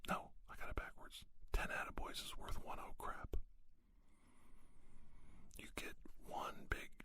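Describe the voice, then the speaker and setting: A man whispering an ASMR monologue, giving folksy, plain-spoken advice and anecdotes with unhurried, emphatic pauses. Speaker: ASMR podcast host.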